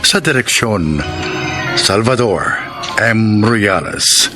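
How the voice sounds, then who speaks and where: Slow, dramatic Filipino male announcer. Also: fairly low pitch, animated. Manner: deliberate, dramatic. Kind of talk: scripted dramatic radio narration. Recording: music behind the voice.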